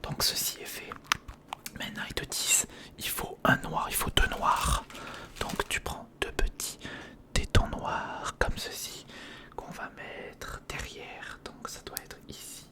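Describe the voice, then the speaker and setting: An ASMR creator whispering casual step-by-step commentary on a hands-on build, murmuring directly to the listener. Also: slow pace.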